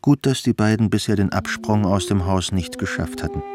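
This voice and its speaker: A man's voice: on the low side, moderate pace. A man narrating a radio drama in a scripted literary style. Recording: music behind the voice. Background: music.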